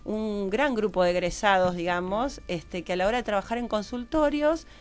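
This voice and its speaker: A woman's voice: medium pitch, normal speed, clean tone. A woman with an Argentine accent explaining as a radio interview guest, searching for her phrasing and often checking with tag questions. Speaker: interview guest, psychologist and university teacher.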